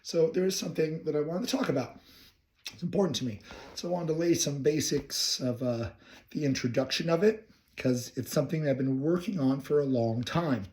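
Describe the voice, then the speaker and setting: A young man with a hoarse voice from laryngitis, talking casually and reflectively to the camera, rambling and correcting himself as he goes. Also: fairly high pitch, brisk, darkish tone. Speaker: counseling student. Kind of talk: casual personal talk to camera.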